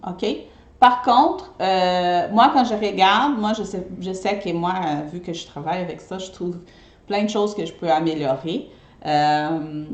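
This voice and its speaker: A woman's voice: mid-range voice, darkish tone, roomy sound, muffled recording. A woman from Brazil whose first language is Portuguese, speaking French with a mixed Brazilian and Québécois accent. She chats casually to her viewers in a live video, often checking in with them by asking "ok?". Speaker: eco-friendly party decorator.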